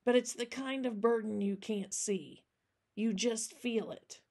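A woman voicing a weary, burdened, kindly old man in a storybook reading, speaking in a hushed, grave, halting way. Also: a little high. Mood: sorrowful.

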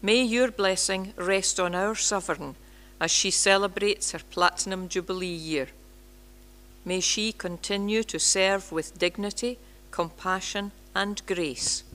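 A woman in her sixties reading a prayer in a measured, solemn way at a church lectern microphone. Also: medium pitch, fairly bright tone. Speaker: prayer leader.

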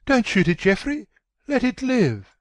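A man playing a sensitive, anguished young gentleman, brooding and fearful, in a dramatic audiobook reading. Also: very high-pitched, sing-song, a little slow, voice pushed up, exaggerated voice. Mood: urgent pleading. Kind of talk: dramatic audiobook character acting.

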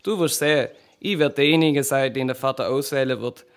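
A man's voice: medium pitch, fairly quick, lightly acted. A man playing a boastful, self-assured young farmer's son in a fairy-tale audio story. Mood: cocky.

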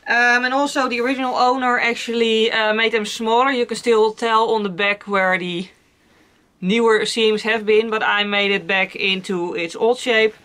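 Bright-voiced young woman chatting casually. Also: on the high side, fairly even intonation, normal speed. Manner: chatty and rambling, many fillers and repeated words. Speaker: vlogger. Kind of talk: casual haul vlog chat. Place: cluttered attic room at home.

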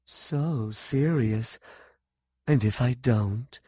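A man's voice: mid-range voice, very slow, dark tone, breathy edge, dry recording. A crazy old sorceress out of a storybook, played by a man, coaxes and wheedles. She is condescending yet childlike, and the recording is dry and close to silent around the words. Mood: mocking. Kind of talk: audiobook character dialogue.

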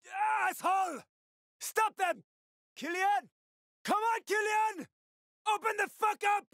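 A man's voice: very high voice, slow pace, fairly bright tone, dry recording, studio quality, full character voice. A male actor voicing a wry, streetwise survivor guide and ex-soldier in a video game, with colloquial, foul-mouthed talk. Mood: urgent, desperate. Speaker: video game companion character.

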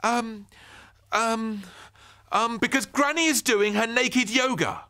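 A man voicing a panicky young boy who stammers in a squeaky voice. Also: takes it slowly, bright, forceful tone, full character voice. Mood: panicked.

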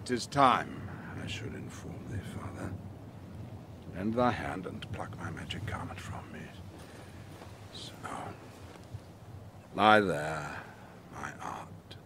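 A man performs a commanding exiled duke and sorcerer, a theatrical father speaking Shakespearean verse. The voice is fairly low and swoops widely between hushed and emphatic, with clear noise behind it. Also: expressive, slow, pauses often, full character voice. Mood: solemn, tender. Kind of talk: Shakespearean audio drama acting.

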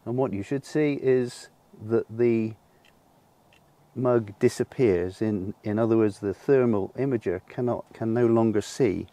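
Older man, mellow low voice. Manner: patient, explanatory, with frequent ums and uhs. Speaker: solar cooking presenter. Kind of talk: unscripted demonstration. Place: outdoor garden. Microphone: lapel mic.

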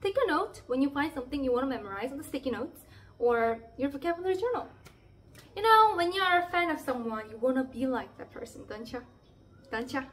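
A woman's voice: sits high, animated, fairly quick, fairly bright tone. A young Korean woman in her twenties with a Korean accent, cheerfully teaching language tips straight to the camera. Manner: cheerful and playful, with emphatic exclamations. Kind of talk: upbeat direct-to-camera lesson. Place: indoor room by a plain wall.

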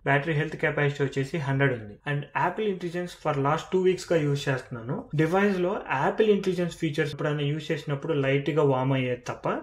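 A man's voice: on the high side, fast talker, hardly any pauses. A man with a Telugu accent presenting a tech walkthrough, explaining features step by step and mixing in English terms. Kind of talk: phone feature walkthrough narration.

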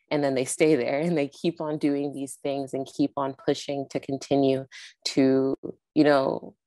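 A woman's voice: sits low, warm tone, no room sound, studio quality. A young woman, a film director, answering interview questions casually and earnestly over a video call with an earbud mic, with lots of "like" and "um". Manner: casual, earnest, many fillers like "like" and "um". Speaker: film director and network founder. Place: indoors with a virtual background. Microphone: wired earbud mic on a video call.

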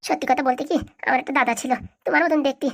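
Woman doing a high, machine-gun-fast crafty village lad. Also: fairly even intonation, gravelly, fairly bright tone. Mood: mock-humble. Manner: sly, insistent, mock-humble. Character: crafty, self-deprecating village schemer lad, a go-between. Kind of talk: comic cartoon dialogue.

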